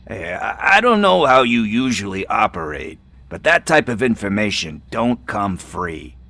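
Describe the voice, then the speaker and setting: An actor playing a nervous, wisecracking male informant in a video game, stammering and bargaining in a husky voice. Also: on the low side, very animated, a little slow, pushed and bright, no room sound, exaggerated voice.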